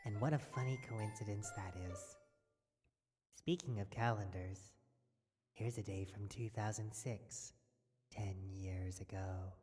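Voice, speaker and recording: A woman playing a grave narrator, deadpan and portentous, in a scripted comedy audio drama. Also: very deep voice, a little slow. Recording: long reverb with music behind.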